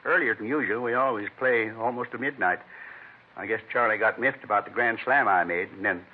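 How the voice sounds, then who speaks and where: An actor playing a rambling, earnest middle-aged American neighbor in an old radio crime drama, plain-spoken and folksy. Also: male voice, lowish voice, fairly quick, breathy edge. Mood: explaining. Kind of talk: 1950s radio acting. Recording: thin, narrow-band vintage radio recording.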